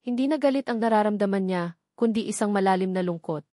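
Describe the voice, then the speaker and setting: A woman's voice: medium pitch, fast, mellow, no echo, studio quality. A woman with a Filipino accent reading a scripted romance story as a dramatic narrator.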